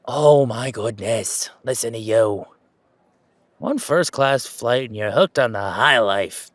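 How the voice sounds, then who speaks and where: A man's voice: medium pitch, takes it slowly, no echo, lightly acted. Man acting a chatty, anxious young guy, dry close sound. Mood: fond, rambling. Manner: chatty, hesitant, rambling. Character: affectionate, anxious, self-deprecating young gamer, wary of family. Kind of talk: conversational audio roleplay acting.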